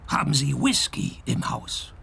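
An actor playing a sardonic, hard-drinking male private detective in a radio play, deadpan in wit. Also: fairly high pitch, a little slow, gravelly, slightly rough, exaggerated voice. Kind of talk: scripted noir parody narration and dialogue.

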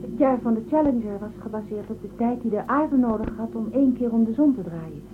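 A woman's voice: a little high, expressive, brisk, narrow, tinny audio, close to an everyday voice. An actress playing an earnest, naive young woman space traveler in a radio drama, speaking politely and literally. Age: young adult. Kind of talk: Dutch radio drama acting. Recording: thin band-limited, noisy old radio recording.

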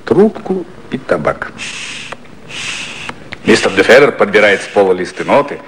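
An actor narrating a satirical 1950s radio play with measured, precise, scripted storytelling. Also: male voice, lowish voice, rough recording. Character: composed male narrator of a political satire.

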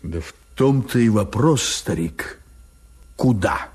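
A man's voice: on the low side, animated, takes it slowly, darkish tone, husky, exaggerated voice. An actor playing a chummy, scheming male adventurer with a persuasive, emphatic way of talking in a radio drama. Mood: rattled. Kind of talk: Soviet radio drama acting. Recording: Soviet radio play with music underneath.

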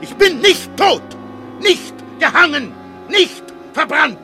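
An actor playing a guilt-ridden, hallucinating murderer who repeats himself obsessively in a poetic radio drama. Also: male voice, very high voice, very animated, takes it slowly, pushed and bright, faint music behind, exaggerated voice. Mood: terrified, desperate. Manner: obsessive repetition, swinging from whispering to crying out. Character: guilt-ridden child murderer, tormented, hallucinating, crumbling in terror.

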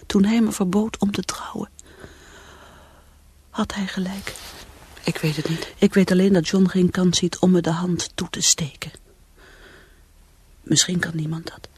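A woman's voice: a little mellow, dry recording. An actress playing a troubled, self-doubting alcoholic woman who craves peace, in an intimate, wavering, confiding radio play monologue. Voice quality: breathy, often whispering. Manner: confiding, wavering, highly emotional.

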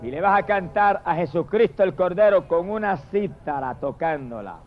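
High-voiced man preaching with fervor. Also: expressive, moderate pace, highs cut off, over music. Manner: impassioned, repetitive exclamations of praise. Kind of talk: fervent sermon.

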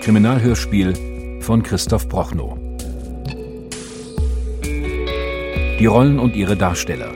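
A man reading scripted radio drama credits as an announcer, in a measured, formal delivery. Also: on the low side, animated. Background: clear music.

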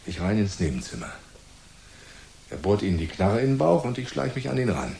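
An actor playing a gruff, bossy, self-serving police detective in a noir radio drama, blunt and slangy. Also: male voice, fairly low pitch, very animated, brisk, darkish tone. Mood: bossy, scheming. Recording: echoey room.

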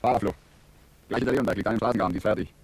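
Husky, brusque patrol policeman. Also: on the low side, very fast, dry recording, poor audio. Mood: brusque, urgent. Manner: clipped, official, blunt. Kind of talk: radio drama acting.

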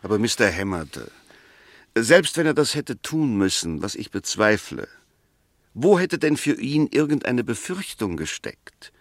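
An actor in a 1960s German radio play, playing an insistent advocate who argues emphatically with rhetorical questions. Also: male voice, mid-range voice, big pitch swings, unhurried, no echo, clean studio sound. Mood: insistent, rebutting. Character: insistent male advocate arguing a murder suspect's innocence.

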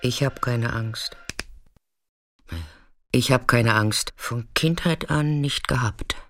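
A man acting in a radio play as a weathered, fearless narrator, speaking in an understated, intimate way with hushed moments. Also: medium pitch, slow, soft background music. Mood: matter-of-fact, confiding.

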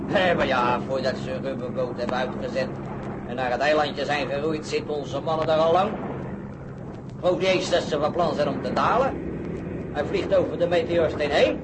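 A man's voice: fairly high pitch, moderate pace, heavy background noise, lightly acted. A man playing a hot-tempered, blustering older sea captain in a radio play. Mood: watchful. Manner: blustering, exclamatory. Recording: loud engine and sea effects behind.

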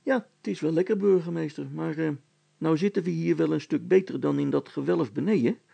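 A man's voice: fairly high pitch, a little fast, gravelly, warm tone, no echo. A man voicing an earnest, plain-spoken boy in a story, simple, hesitant and doubtful. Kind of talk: dramatised story reading.

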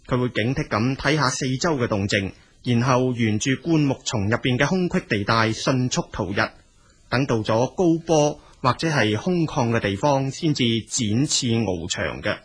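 A male storyteller with a Cantonese accent narrates in a colloquial spoken style. His voice sits at a medium pitch and is strongly husky and rough. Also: expressive, moderate pace.

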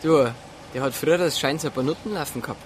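An actor playing a chatty police investigator with a colloquial, breezy manner and a Bavarian accent. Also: male voice, a little high, fast talker, a little hiss or hum, lightly acted. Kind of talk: naturalistic radio drama acting.

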